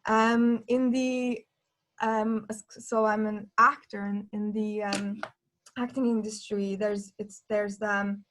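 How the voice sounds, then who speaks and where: A woman from Norway taking part in a panel discussion, talking in an earnest, reflective way and pausing to restart her thoughts as she goes. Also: medium pitch, little pitch movement, a bit bright, low-quality recording. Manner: earnest, thinking aloud with pauses and restarts.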